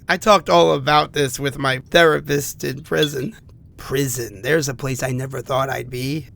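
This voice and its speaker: An actor playing a bitter, wounded ex-convict in an audio drama monologue, halting and wry as he confides. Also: fairly high pitch, gravelly, a bit bright. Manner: halting, wry, muttering asides with chuckles.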